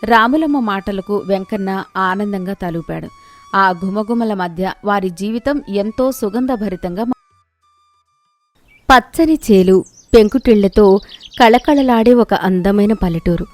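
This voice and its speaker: A woman's voice: medium pitch, unhurried. A woman storyteller delivering scripted narration of a village moral tale. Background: music.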